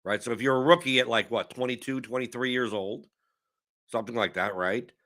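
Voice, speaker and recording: A young man, hoarse with a sore throat, hosting a casual fantasy sports livestream, rambling and cutting himself off. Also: mid-range voice, brisk. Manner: animated, rambling, self-interrupting. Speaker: host of a fantasy sports stream.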